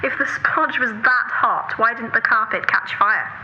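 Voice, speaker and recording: A woman's voice: a little high, measured pace, bright, forceful tone, highs cut off, slight background noise. A woman acting an earnest, orderly, nagging sister in a naturalistic audio drama, exasperated but caring and persistent in her lecturing.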